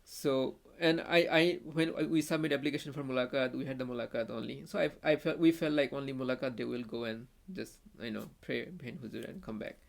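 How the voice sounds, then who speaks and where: A young man from Bangladesh with a Bangladeshi accent, a native Bengali speaker, telling a story as a podcast guest in a halting way, full of restarts and fillers. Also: medium pitch, creaky. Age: young adult. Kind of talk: casual conversational storytelling.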